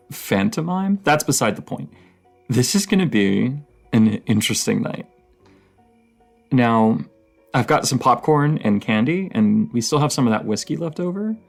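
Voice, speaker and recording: A man playing a dorky, playful partner in an intimate audio roleplay, rambling, giggly and teasing, with a slightly creaky voice. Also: mid-range voice, expressive, measured pace, soft background music. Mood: excited, playful. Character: dorky, playful young romantic partner, horror film nerd.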